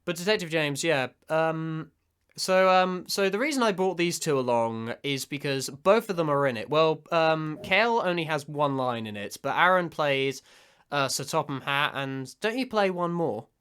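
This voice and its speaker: A man with a bright, forceful voice pitched a little high hosts a casual commentary. He talks in a rambling, hesitant way. He keeps cutting himself off and starting over. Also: expressive, normal speed, clear, resonant tone. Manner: rambling, hesitant, self-interrupting. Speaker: commentary host and amateur voice actor. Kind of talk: casual reaction commentary chat.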